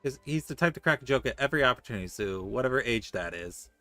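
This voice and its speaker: A man streaming a game, giving casual commentary with joking, deadpan asides. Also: medium pitch, quick pace, faint music behind.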